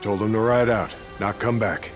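An actor playing an earnest, principled and weary camp leader in a dramatic game scene, with a folksy, emphatic delivery. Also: male voice, fairly low pitch, steady tone, moderate pace, over music, close to an everyday voice. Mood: resolute. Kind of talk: dramatic video game acting.

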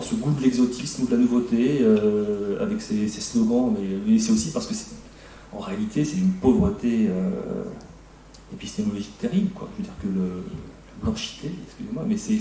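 A middle-aged man, a historian, speaking on a debate panel in a halting way, with many fillers and restarts. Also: mid-range voice, dark tone, slight background noise. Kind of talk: spontaneous panel talk. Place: conference room, seated at a panel table. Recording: echoey room, mic far off.

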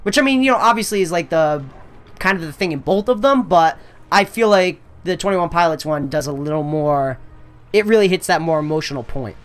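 A man's voice: on the high side, lively intonation, brisk, a bit bright, faint music behind. A young man co-hosting a game playthrough, talking casually with lots of fillers and swearing. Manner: rambling, swears freely, many fillers. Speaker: let's play co-host. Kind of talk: casual chat over gameplay.

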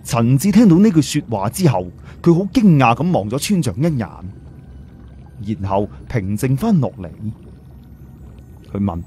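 A man's voice: medium pitch, very animated, normal speed, pauses often, mellow, a little hiss or hum. A man with a Cantonese accent narrating a scripted audio story as a storyteller.

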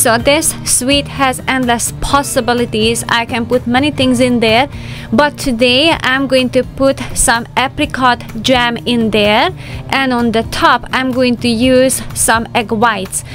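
Soft-spoken woman narrating over music. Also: a little high, fairly even intonation, a little slow. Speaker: homemaking vlogger. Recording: voice-over with loud background music.

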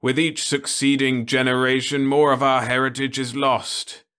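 A man's voice: medium pitch, level tone, normal speed, a bit bright, clean tone, pitched above the performer's own voice, lightly acted. A man voicing a grumbling, rebellious old man in a storybook reading. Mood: mournful. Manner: scornful, mournful.

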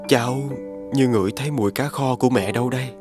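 An actor playing a polite, poetic, homesick young scholar in an animated fairy tale. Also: male voice, mid-range voice, fast, over music, natural voice. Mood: reflective. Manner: polite, formal.

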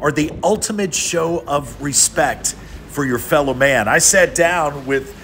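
A man's voice: a little high, unhurried, a bit bright, slight background noise. A man hosting a travel show, narrating a scripted voice-over in an upbeat, enthusiastic way.

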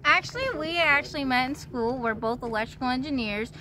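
Very bright-voiced young woman chatting. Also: sits high, a little fast, low-quality recording. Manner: uses "like" often. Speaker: guest, van-life traveler and student. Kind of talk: casual chat. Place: outdoors beside a parked van.

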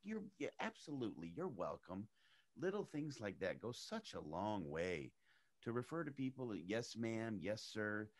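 A middle-aged man with a very low, deep voice, raised in Arizona. He chats casually as an interview guest, telling stories with animation and leaping up in pitch whenever he acts out what other people said. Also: very animated, measured pace. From United States, raised in Arizona.

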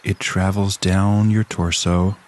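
Very deep, slow male relaxation guide. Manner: calm, soothing, measured with long pauses. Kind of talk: scripted guided relaxation narration.

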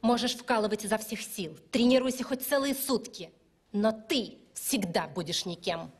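A woman voicing a spiteful young ballet rival with a breathy, sneering, taunting delivery in a dubbed cartoon. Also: mid-range voice, normal speed, lightly acted. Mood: contemptuous. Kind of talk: dubbed animated film dialogue.

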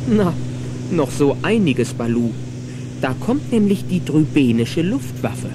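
A man's voice: on the high side, big pitch swings, takes it slowly, noisy background. A man narrating a children's adventure radio play in a dramatic, playful manner. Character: lively storyteller of a children's adventure. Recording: music and engine sounds behind.

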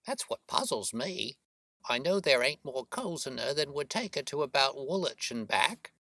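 A woman's voice: extremely low pitch, very animated, moderate pace, fairly bright tone, dry recording, voice pushed up, exaggerated voice. A man voicing a worried, talkative working-class London boatman's wife, chatty and deferential, in an audiobook story. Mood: anxious.

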